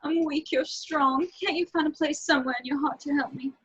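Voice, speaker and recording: A woman playing a sultry, pleading cartoon femme fatale in an amateur table read of a film script, with a breathy delivery. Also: high-pitched, steady tone, fairly quick, fairly bright tone. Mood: desperate. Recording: dull, lo-fi home recording.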